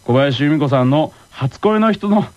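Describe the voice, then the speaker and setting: A man's voice: a little high, lively intonation, moderate pace, darkish tone. A man hosting a radio game segment in a polite, bantering way, often laughing as he talks. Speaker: radio show host. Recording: dull lo-fi radio recording, noisy.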